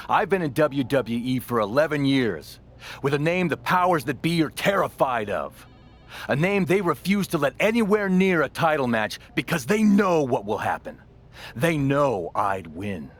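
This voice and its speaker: An actor playing a boastful veteran wrestler in a video game, with theatrical, emphatic delivery and drawn-out phrases. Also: male voice, mid-range voice, sing-song. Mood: bitter, aggrieved. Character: boastful veteran male wrestler with a cheery, wide-eyed alter ego. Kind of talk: scripted video game cutscene acting.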